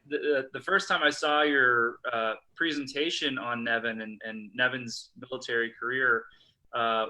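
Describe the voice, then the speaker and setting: A man hosting a casual live-stream history chat, speaking in a halting, informal way. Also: medium pitch, sharp tone, low-quality recording. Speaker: host, history blog contributor.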